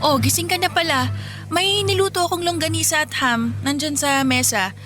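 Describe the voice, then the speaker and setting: An actress playing a caring, exasperated sister in a Filipino radio drama, coaxing and scolding with a Filipino accent. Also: female voice, high voice, moderate pace, bright, forceful tone, faint music behind. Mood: casual, practical.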